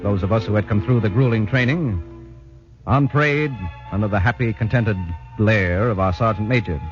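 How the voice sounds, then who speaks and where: A man's voice: lowish voice, moderate pace, lightly acted. An actor playing a commando soldier who narrates his training days in a 1940s radio drama. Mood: measured, reminiscing. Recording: muffled 1940s radio broadcast, music behind.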